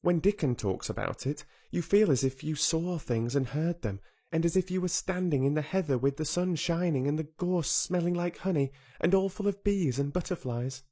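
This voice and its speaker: A man's voice: a little high, normal speed, hardly any pauses, dark tone, voice pushed up, natural voice. A man reading aloud who voices a headstrong, contrary young girl with an earnest, blunt way of speaking.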